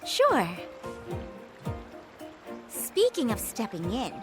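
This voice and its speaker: A woman's voice: on the high side, huge pitch swings, extremely slow, music in the background, heavily acted. A woman voicing a bossy, sassy catgirl innkeeper in a video game, with a teasing, wry manner. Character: sassy, bossy female innkeeper catgirl, older than she looks. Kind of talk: animated video game cutscene acting.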